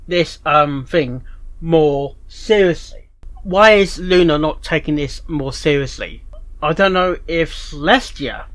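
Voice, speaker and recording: A reaction video host giving casual commentary, halting and often repeating phrases. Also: mid-range voice, fairly bright tone.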